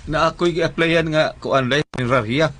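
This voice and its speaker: A man playing a morose old father and patient with a Cebuano accent in a comic radio drama, with a creaky voice. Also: fairly high pitch, moderate pace, fairly bright tone. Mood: resigned.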